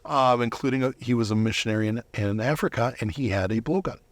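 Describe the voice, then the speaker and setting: A middle-aged man giving a conversational personal testimony as an interview guest, reflective and halting, often rephrasing himself. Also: medium pitch, dry recording.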